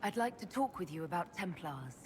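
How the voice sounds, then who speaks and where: An actress playing a composed, inquisitive mage leader in a video game, asking measured, direct questions. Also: female voice, fairly low pitch, very animated, background noise. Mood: calm, curious. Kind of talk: video game dialogue acting.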